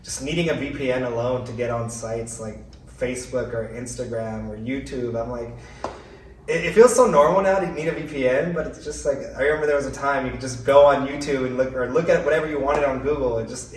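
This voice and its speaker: A man from California telling his story casually, relaxed and full of fillers. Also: medium pitch, a little fast. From California, USA. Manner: relaxed, chatty, many "like" and "you know" fillers. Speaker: guest. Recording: roomy, distant mic, faint noise.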